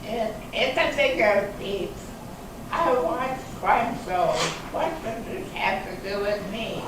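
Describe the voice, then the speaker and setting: A woman's voice: mid-range voice, slow pace, close to an everyday voice. A woman playing a defensive, flustered robbery suspect in an amateur readers theater reading. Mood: wary. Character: defensive, flustered woman suspect, night-shift respiratory therapist. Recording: very echoey hall, distant mic, noisy.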